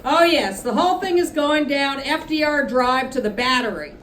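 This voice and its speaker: A woman's voice: high-pitched, expressive, moderate pace, fairly bright tone, a little hiss or hum. Woman reading as a blunt, fuming friend. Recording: echoey room from a distance.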